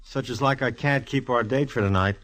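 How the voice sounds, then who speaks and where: A medium-pitched actor playing a male homicide inspector in 1940s radio style. He banters in slang, deadpan and teasing, like a smart cop at ease in his job. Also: brisk, close to an everyday voice. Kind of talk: 1940s radio detective acting.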